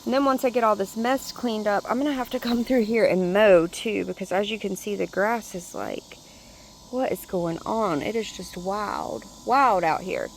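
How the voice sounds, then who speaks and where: A woman vlogging a casual walk-through of her home garden, speaking in a chatty, relaxed way with a hoarse, congested voice. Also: medium pitch, moderate pace, slight background noise. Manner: chatty, relaxed, thinking aloud.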